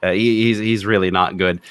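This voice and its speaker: A man's voice: lowish voice, steady tone, moderate pace, a bit bright, smooth. A man from Romania who co-hosts a film review podcast, talking casually, opinionated and freely swearing.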